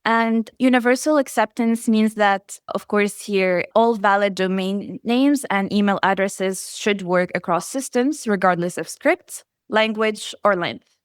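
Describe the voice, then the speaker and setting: A woman whose first language is Arabic, giving a prepared conference presentation in a measured way, reading lists item by item. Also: mid-range voice, smooth, no echo, clean studio sound. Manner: measured, enumerating lists steadily. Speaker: researcher presenting.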